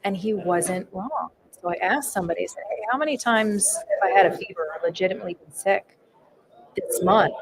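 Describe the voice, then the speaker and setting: A woman's voice: medium pitch, fairly quick. A woman in her thirties, a firefighter, telling a candid personal story in a casual podcast chat. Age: 30s. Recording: noisy live event floor.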